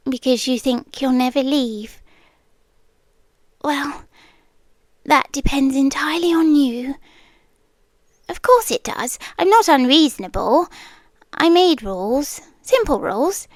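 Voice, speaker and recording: Woman playing inhuman yandere girlfriend, British accent, high female voice, possessive, sweetly menacing, breathy whispers, slow ASMR delivery. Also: no room sound, clean studio sound. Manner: slow, coaxing, often dropping into breathy whispers. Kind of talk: intimate ASMR roleplay. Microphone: close microphone, dry.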